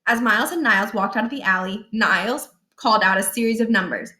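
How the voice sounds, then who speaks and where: A woman narrating a children's book aloud in an expressive storytelling style. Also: mid-range voice, fast.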